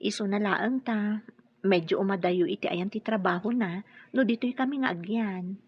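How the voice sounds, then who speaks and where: Soft-hearted young bride, played by a woman, Ilocano accent, mid-pitched and breathy, sincere radio drama part, muffled sound. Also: normal speed. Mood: reassuring.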